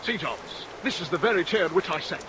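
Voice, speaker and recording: An actor playing a righteous, earnest adversary of a murderer in a vintage radio melodrama, declaiming in formal style. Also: male voice, high voice, animated, fast. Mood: resolute, grave. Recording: vintage radio recording under rain noise.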